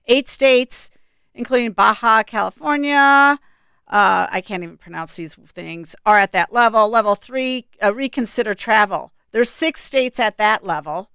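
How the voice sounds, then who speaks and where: A woman's voice: medium pitch, a little slow, gravelly, sharp tone, clear, resonant tone, dry recording. A woman co-hosting a talk radio show, speaking bluntly and with exasperation. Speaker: radio co-host.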